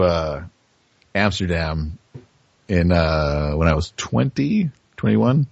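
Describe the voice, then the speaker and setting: Male podcast guest, very low voice, frank conversational delivery, dry lo-fi recording. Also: no room sound. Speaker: interview guest. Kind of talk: casual chat.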